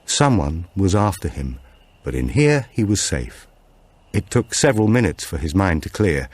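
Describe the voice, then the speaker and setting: A male audiobook narrator with a notably deep voice. He reads scripted suspense fiction as a storyteller. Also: big pitch swings, normal speed, darkish tone, no room sound.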